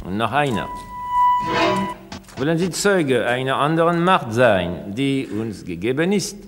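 A man lecturing in a scripted radio-essay dialogue, formal, deliberate and oracular as he expounds ideas. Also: medium pitch, very animated, loud music behind the voice. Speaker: thinker expounding ideas on sound. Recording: long reverberant hall.

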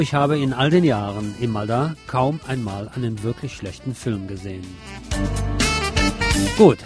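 A man's voice: medium pitch, a little mellow. A man giving a personal, opinionated film review in a conversational radio commentary style.

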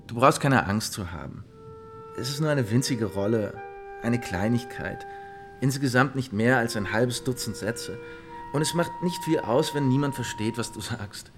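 An actor playing an eager, bossy young gentleman in a radio drama, breezy and insistent as he cajoles. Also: mid-range voice, expressive, normal speed, music in the background, close to an everyday voice. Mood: cajoling, insistent.

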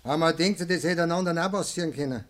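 An actor playing a self-righteous, wheedling village mayor in a rural radio play, speaking Bavarian dialect in an insistent, folksy, self-justifying way. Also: male voice, a little high, racing, lightly acted. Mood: placating.

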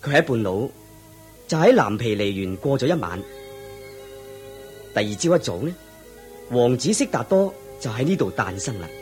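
A man narrating a scripted story. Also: medium pitch.

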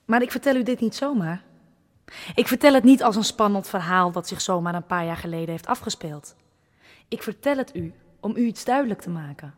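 A woman's voice: mid-range voice, expressive, a little fast, close to an everyday voice. Actress doing serious adult woman in a radio drama, medium voice, insistent, telling officials her story. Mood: earnest, insistent.

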